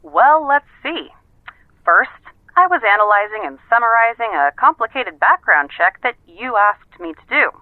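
A woman's voice: on the high side, animated, normal speed, no echo. Over a thin, tinny phone line comes a sardonic, efficient woman who assists a detective. She is wry and clipped, with a matter-of-fact delivery in a naturalistic audio drama. The sound is narrow and dry. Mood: exasperated, sardonic. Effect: telephone filter.

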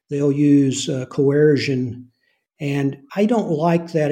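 A soft-spoken man, an author and former intelligence officer, answering interview questions in an earnest way. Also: mid-range voice.

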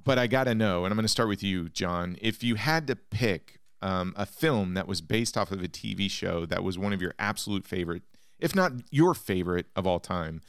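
A man's voice: low voice, expressive, fairly quick, clear, resonant tone, dry recording, studio quality. A man hosting a film podcast, enthusiastic and a little rambling as he introduces topics and asks questions. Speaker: podcast host.

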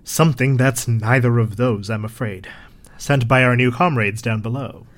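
A man acting a grave, gentle, bookish resistance member in an audio drama, with measured, formal phrasing. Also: mid-range voice, close to an everyday voice.

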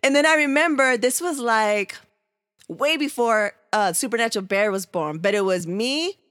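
A woman's voice: a little high, moderate pace, fairly bright tone, no room sound, clean studio sound. A woman in her thirties from San Diego hosting a podcast, talking in a laid-back, slangy way and laughing often. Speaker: podcast host. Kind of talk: casual podcast chat.

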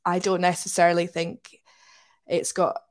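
Woman, from Scotland, slightly low voice, reflective, casual interview chat, podcast guest. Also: completely flat delivery, moderate pace.

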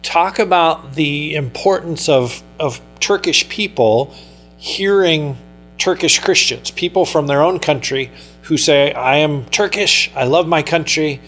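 A man's voice: a little high, a little slow, fairly bright tone, soft background music. A man hosting a radio interview in a conversational style.

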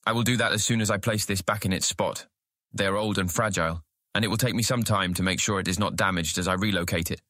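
A man's voice: low-pitched, fast talker, sharp tone, no room sound, clean studio sound. A man playing a dutiful, bookish young guild member in an audiobook drama, speaking formally and deferentially. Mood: deferential, careful.

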